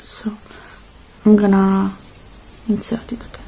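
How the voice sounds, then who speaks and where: A woman speaking softly and gently in a halting, reassuring ASMR roleplay, slipping between quiet voice and whisper. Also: mid-range voice, level tone, gravelly, husky. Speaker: ASMR performer playing a robot repair technician.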